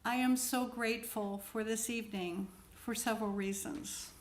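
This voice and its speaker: A woman's voice: fairly high pitch, fairly even intonation, measured pace, a little hiss or hum. A woman from Ohio giving a gracious acceptance speech. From Ohio, USA. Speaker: award recipient. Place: event hall.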